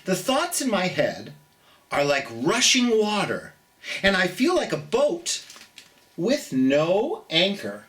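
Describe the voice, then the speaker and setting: Slow, expressive children's storytime host. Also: mid-range voice. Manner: gentle, theatrical, speaking directly to children with drawn-out emphasis. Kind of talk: live storytime for children, chatting and reading a picture book aloud.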